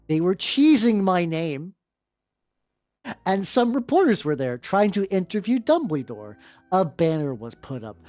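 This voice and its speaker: A woman's voice: medium pitch, a little mellow. A woman streamer with a playful, joking manner, chatting casually and reading fanfiction aloud for comic effect. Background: background music.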